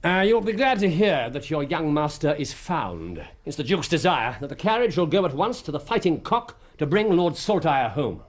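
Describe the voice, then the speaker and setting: Radio drama lead: stern Victorian sleuth, upper-class English, precise, authoritative, highly modulated. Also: male voice, sing-song, moderate pace, few pauses. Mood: brisk, commanding. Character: commanding, stern, shrewd Victorian gentleman detective.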